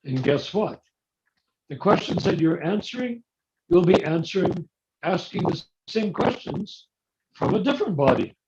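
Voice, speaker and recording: A man with a somewhat high voice talks earnestly about spiritual questions over a video call. His voice comes through his hearing aids and sounds scratchy and muffled. A clear noise sits under every word. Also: very animated, moderate pace. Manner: earnest, rhetorical, asks if he makes sense. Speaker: discussion leader. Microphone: phone linked to hearing aids.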